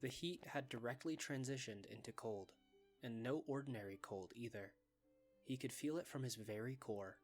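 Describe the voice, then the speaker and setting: A man's voice: medium pitch, normal speed, soft background music. A man reading scripted story narration as the narrator of a fan dub.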